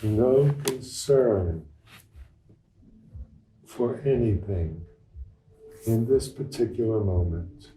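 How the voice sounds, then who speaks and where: A man leading a guided meditation as a teacher, speaking gently and without hurry in short phrases with long pauses. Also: lowish voice. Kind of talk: guided meditation, unscripted and instructional. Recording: roomy echo, distant microphone.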